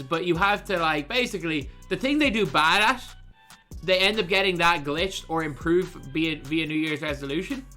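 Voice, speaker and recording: A man's voice: high voice, bright, forceful tone. Young man ranting with a lisp. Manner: exasperated, ranting. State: swollen tongue. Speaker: gaming streamer. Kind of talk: ranting gameplay commentary.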